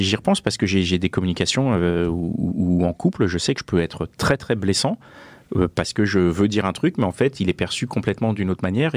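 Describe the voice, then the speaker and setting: Low-voiced man, halting and dry. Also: dry recording. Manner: halting, searching for words. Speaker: podcast co-host. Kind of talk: casual reflective conversation.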